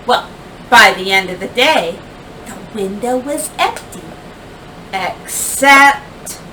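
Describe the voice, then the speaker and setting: A woman teacher reading a children's picture book aloud expressively, with deliberate phrasing, dramatic pauses and emphasis. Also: fairly high pitch, fairly bright tone. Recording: distant mic, faint background noise.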